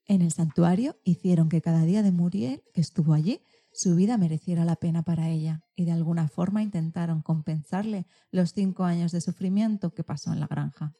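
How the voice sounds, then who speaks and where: A woman's voice: fairly low pitch, brisk, dark tone. A woman with an accent from Spain co-hosting a radio programme, presenting from a script. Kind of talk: scripted magazine-show presenting.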